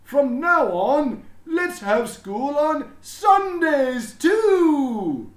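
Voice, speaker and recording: Here is an exuberant, school-obsessed principal from a children's storybook. He booms proudly in a raised, swooping voice and stretches his words for emphasis. The room echoes around him. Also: sing-song, heavily acted. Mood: gushing delight. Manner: booming, drawn-out, emphatic delivery.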